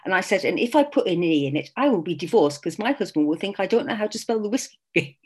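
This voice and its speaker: A woman's voice: mid-range voice, animated, fast talker, a little mellow, husky. A middle-aged English woman author with traces of a Scottish accent, chatting casually in an interview, laughing often and restarting her sentences. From England.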